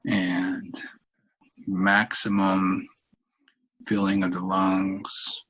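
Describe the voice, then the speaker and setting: A man leading a guided meditation in a calm, unhurried, halting way with long pauses and a husky voice. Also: deep voice, low-quality recording. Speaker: meditation leader, podcast host. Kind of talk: guided meditation, softly spoken instruction.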